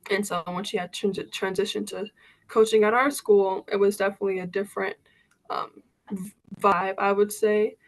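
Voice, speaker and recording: A young woman in her late teens, a high school basketball player, telling her story as a guest over a video call with a relaxed, filler-filled way of talking. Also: normal speed, poor audio. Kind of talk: casual interview answers.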